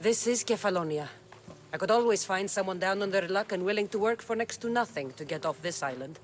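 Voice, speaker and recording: An actress playing a confident mercenary warrior in a video game, speaking in a dry, teasing, matter-of-fact way. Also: female voice, medium pitch, lively intonation, fairly quick, faint music behind, lightly acted. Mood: bargaining. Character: confident woman mercenary warrior, wry and blunt. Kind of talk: video game cutscene acting.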